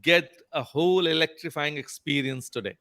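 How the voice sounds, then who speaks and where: A man's voice: on the high side, moderate pace, crowd noise. A man, hosting a podcast and politely inviting his guest to share his journey. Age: 50s. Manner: polite, courteous interviewer. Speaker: podcast host and counselor.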